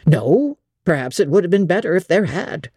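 A woman's voice: on the low side, sing-song, moderate pace, warm tone, slightly rough, no room sound, studio quality. A woman voicing an old male country servant, who tells a grim tale in old-fashioned dialect. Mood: grave. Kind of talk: dramatic audiobook reading.

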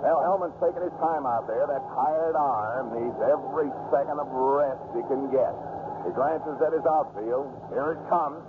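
An actor playing an excited baseball play-by-play announcer with a dramatic manner in a 1950s radio drama. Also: male voice, medium pitch, moderate pace, lightly acted. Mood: excited, tense. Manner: dramatic, folksy showmanship. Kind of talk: play-by-play commentary. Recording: noisy, tinny 1950s radio broadcast.

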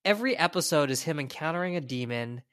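A man with an American accent chatting as a podcast co-host, often halting and rephrasing himself as he goes. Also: fairly high pitch, expressive, a bit bright, smooth, dry recording, studio quality. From United States. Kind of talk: casual conversational discussion.

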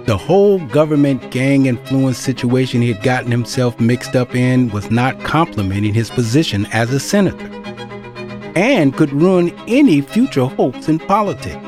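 A man's voice: mid-range voice, lively intonation, moderate pace, darkish tone, soft background music. A man narrating a drama in a wry, knowing storytelling manner.

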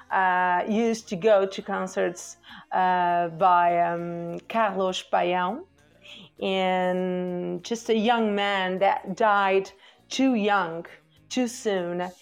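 Slow, gravelly Portuguese-accented woman. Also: medium pitch. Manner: unhurried, searching for words with many fillers. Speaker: radio show host. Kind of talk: casual live show chat between songs.

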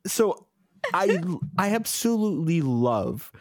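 A man co-hosting a film podcast, joking and animated, laughing often. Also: sits high, takes it slowly. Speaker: podcast co-host. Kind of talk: casual chat.

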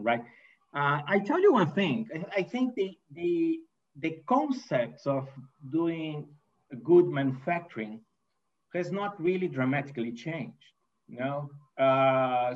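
Man, 50s, Brazilian accent, non-native English, hesitant, many fillers, distant mic, muffled lo-fi call. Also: mid-range voice. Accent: Brazilian Portuguese. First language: Portuguese. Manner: hesitant, many fillers and restarts, frequent "you know". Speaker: manufacturing executive guest. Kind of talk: conversational interview answers.

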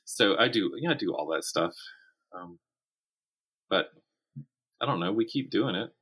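Low, husky middle-aged man. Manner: calm, measured. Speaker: interview guest, writer and academic. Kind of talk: casual conversational interview.

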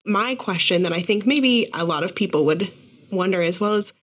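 An enthusiastic woman hosts a book club chat and asks the author long, admiring questions. Her voice sits fairly low for a woman and carries an eager, fluent delivery. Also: lively intonation, moderate pace, slightly rough. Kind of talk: interview questions.

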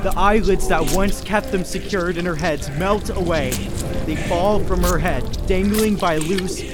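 A man acts a doomed businessman in a radio horror play. His voice sits high and moves slowly, with a vivid, theatrical delivery. Music plays underneath. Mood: horrified narration. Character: Tanner, taunting businessman turned doomed horror narrator. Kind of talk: radio horror drama acting and first-person narration.

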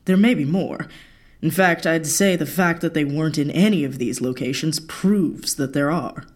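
A woman playing a composed, deductive intelligence officer in an audio drama, measured and matter-of-fact. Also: deep voice.

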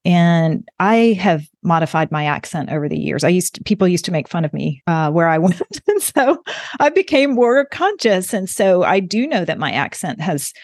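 A woman's voice: lowish voice, big pitch swings, normal speed, darkish tone, no echo, studio quality. A woman from Alabama hosting a podcast interview, conversational and encouraging as she asks her guest questions. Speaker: podcast host.

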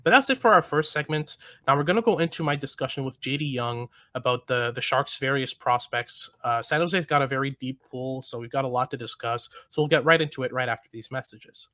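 A young man hosts a hockey podcast and breaks down draft prospects in an analytical way, with plenty of ums and restarts. His voice sits at an ordinary pitch and is recorded close and dry, with no room sound. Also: a little fast, hardly any pauses, sharp tone, no room sound. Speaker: podcast host.

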